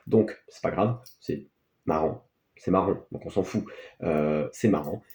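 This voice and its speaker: Guy chatting offhand about films, kinda rambly, soft dark tone, roomy echo. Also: deep voice, unhurried. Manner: hesitant, rambling, offhand delivery. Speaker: film review host. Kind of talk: casual unscripted film commentary. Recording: echoey, roomy sound.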